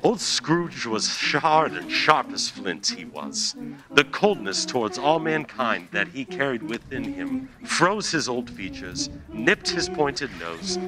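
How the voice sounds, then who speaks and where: A man narrating a radio play as announcer and storyteller, with dramatic, deliberate emphasis. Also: mid-range voice, wildly swooping pitch, over music, poor audio. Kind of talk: theatrical scripted storytelling.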